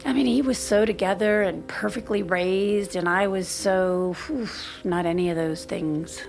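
A woman's voice: medium pitch, fairly even intonation, unhurried, vocal fry, darkish tone. A woman acting in an audio drama as a reserved married mother in her thirties, confiding in a hesitant, self-deprecating way. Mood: wistful. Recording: music playing behind the voice.

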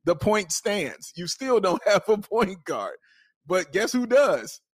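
Playful man with higher voice, bantering. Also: very animated, takes it slowly, no room sound. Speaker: sports podcast co-host. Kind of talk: casual banter.

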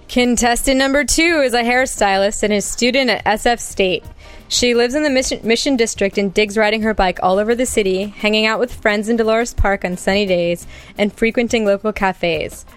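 A woman's voice: on the high side, normal speed, soft background music. A woman hosting a podcast dating game, reading contestant bios in a scripted way. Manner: reads contestant bios smoothly. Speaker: podcast host.

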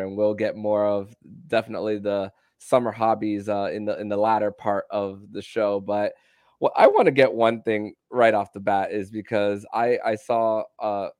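A man hosting a sports podcast and interviewing, with somewhat halting, stop-start phrasing. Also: lowish voice, a little mellow, smooth, no room sound, studio quality. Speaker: podcast host.

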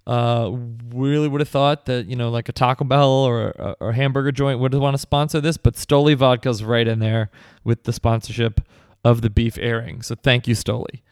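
Guy hosting a comedy podcast, deadpan and rambly, smooth voice, dry close sound. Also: mid-range voice, moderate pace, no echo. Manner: mock-earnest, rambling, deadpan. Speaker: podcast host.

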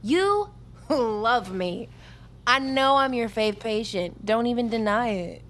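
An actress playing a cocky, foul-mouthed young army recruit in a naturalistic audio drama, with a flippant, teasing manner. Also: female voice, on the high side, lively intonation, slow. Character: brash, cocky young female army recruit, manipulative, wounded.

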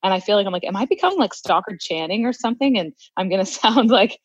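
A young woman with a low voice, joining a podcast chat with quick, self-deprecating remarks. Her audio comes through dry and a little dull, as if over a remote line. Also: lively intonation, husky, no room sound. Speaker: radio host and podcast co-host. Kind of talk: casual chat.

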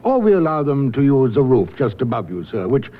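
An actor playing a fussy, deferential apartment manager in a 1970s radio drama, chatty and polite. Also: male voice, medium pitch, very animated, a little fast. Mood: obliging. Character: fussy, obliging male apartment building manager. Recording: tinny band-limited old radio recording.

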